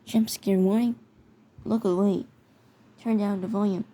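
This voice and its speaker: A speaker narrating a homemade scenario video in a halting way, often repeating words. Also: medium pitch, fairly even intonation, dark tone. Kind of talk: amateur narration of a mock emergency alert scenario video. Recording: noticeable background noise.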